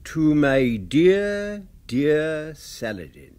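Pompous elderly lawyer, radio play, very slow, lightish pitch, formal. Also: male voice, big pitch swings, a little mellow, full character voice. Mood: formal, ponderous. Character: fussy, stuffy old family solicitor reading a will. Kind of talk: radio drama acting.

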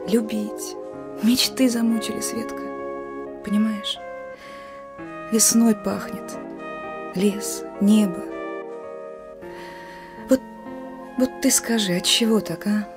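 A woman playing a young female partisan sentry in a radio drama, blunt and colloquial, gruff yet dreamy. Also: mid-range voice. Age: young adult. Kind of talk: Russian radio drama acting.

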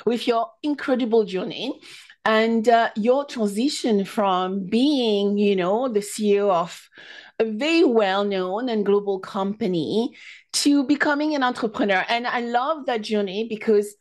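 A woman's voice: a little high, measured pace. A woman hosting a business podcast, reading a scripted introduction and then interviewing a guest over a video call. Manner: enthusiastic, reads a scripted intro. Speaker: podcast host.

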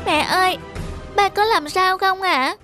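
Casting: little cartoon schoolgirl, very high childlike voice, anxious and obedient, music underneath. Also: measured pace, sharp tone, exaggerated voice. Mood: shocked. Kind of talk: dubbed cartoon acting.

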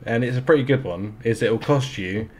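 A man's voice: mid-range voice, a little mellow. A man in his thirties from England with a London accent, joking easily with an opponent over game voice chat. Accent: London English. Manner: laid-back, joking, drawn-out words. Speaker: streamer. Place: small home streaming room. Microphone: headset.

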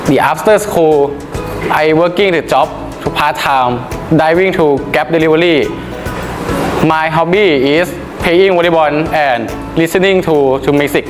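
Higher-voiced young Thai-accented man. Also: unhurried. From Thailand. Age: 20s. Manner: careful, simple learner English. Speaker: student. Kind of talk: classroom self-introduction. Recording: echoey room with music.

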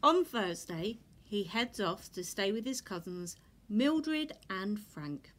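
A woman reads a children's picture book aloud with expressive, deliberate storytelling delivery. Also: mid-range voice. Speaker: storybook reader. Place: indoors in a furnished room at home.

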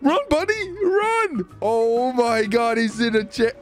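Man, high-pitched, very animated, excitable gameplay commentary, repetitive phrasing, music behind. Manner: excitable, giggly, repeats phrases. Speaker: gaming video creator.

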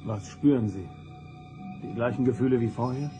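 An actor playing a resolute, dutiful starship first officer in a radio drama, with a direct, military manner. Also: male voice, medium pitch, moderate pace, music in the background, close to an everyday voice. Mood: commanding.

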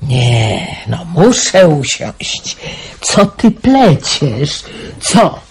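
An actress playing a worried, stern older aunt figure who scolds a young man quickly and sharply in a radio drama. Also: deep voice, big pitch swings, slightly rough, exaggerated voice. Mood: reproachful.

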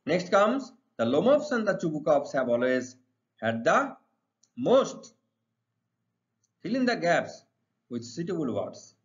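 Indian-accented male teacher, swooping lively pitch. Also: slow pace, pauses often. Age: middle-aged. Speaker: English teacher. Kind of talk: exam lesson, reading questions and explaining answers. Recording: dull lo-fi recording.

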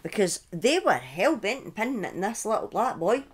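A middle-aged woman telling a true-crime story informally on a livestream, speaking deliberately with pauses and emphatic repetition, close to a desk microphone. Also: medium pitch, sing-song, breathy edge. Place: small indoor room at home. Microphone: close desk microphone on a boom arm.

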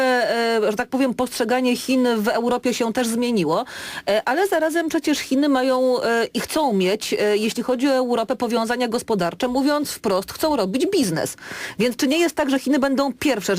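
A woman's voice: a little high, moderate pace, dry recording. Woman radio interviewer, dry close sound. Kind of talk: live political interview questioning. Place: radio studio.

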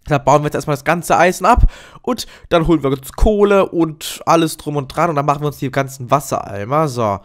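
A man with a fairly high voice and a cold talks his viewers through a video game in a relaxed, chatty way. He keeps up a steady stream of upbeat remarks and fillers as he plays. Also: brisk. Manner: chatty, upbeat, says "so" and "Freunde" often. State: has a cold. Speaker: gaming YouTuber. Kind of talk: casual Let's Play commentary.

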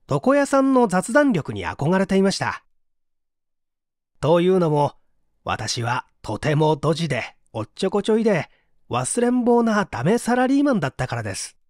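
A man narrating a book as scripted audiobook reading, expressive and clearly articulated. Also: high voice, no echo, clean studio sound.

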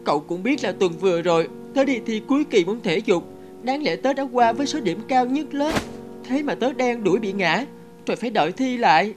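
A woman's voice: fairly high pitch, fairly quick, natural voice. Woman voicing a plaintive little cartoon schoolboy. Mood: glum complaining. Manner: plaintive, expressive. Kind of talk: scripted cartoon dialogue. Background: background music.

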